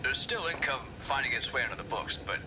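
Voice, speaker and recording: An actor playing a bewildered, uneasy studio bookkeeper, hesitant and folksy, on a noisy video game audio log. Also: male voice, mid-range voice, lively intonation, fast talker, natural voice. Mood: baffled. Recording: very noisy, droning vintage recording.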